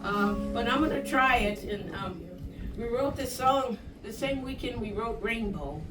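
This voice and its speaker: A woman singer-songwriter joking and laughing with a small crowd between songs, in relaxed stage banter. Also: fairly high pitch, measured pace. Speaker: singer-songwriter performing live. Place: crowded suite cabin on a cruise ship. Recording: roomy audience recording from a distance. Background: loud guitar and a chattering crowd.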